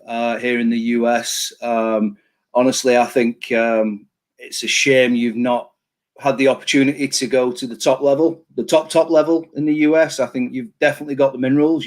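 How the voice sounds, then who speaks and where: A man's voice: medium pitch, darkish tone. A man hosting a casual online interview show, chatty and enthusiastic, with plenty of fillers. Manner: chatty, hypes the guest, filler-heavy. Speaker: show host.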